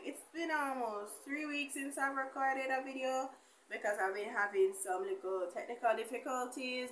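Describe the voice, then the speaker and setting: Young female beauty vlogger, high bright voice, chatty and colloquial, recorded in an echoey room. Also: a little hiss or hum. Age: young adult. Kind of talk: casual chatty makeup haul to camera. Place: small indoor room with bare wall.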